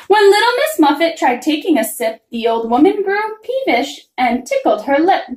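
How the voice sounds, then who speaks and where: A woman reading a rhyming children's story aloud in an expressive, singsong manner. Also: high voice, normal speed. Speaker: reader of a children's picture book. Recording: roomy, echoing room sound.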